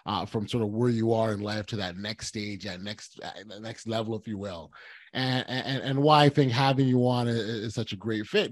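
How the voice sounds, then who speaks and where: A man's voice: medium pitch, dry recording, clean studio sound. A man hosting a podcast interview, effusive and gushing, with many restarts and repeated words. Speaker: podcast host. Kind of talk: podcast intro and interview chat.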